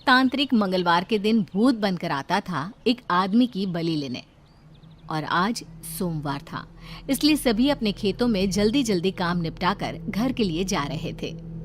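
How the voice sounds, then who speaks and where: A woman's voice: medium pitch, lively intonation, measured pace, slight background noise. A woman narrator reading a scripted story. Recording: loud music behind.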